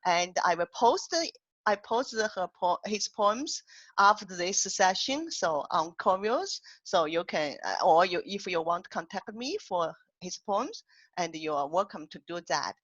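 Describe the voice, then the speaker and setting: A woman's voice: on the low side, very animated, a little slow, fairly bright tone. A woman hosts a casual online poetry and translation chat over a video call. She talks hesitantly, stopping and restarting her sentences, and her voice comes through a dull, lo-fi connection. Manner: hesitant, many restarts and fillers.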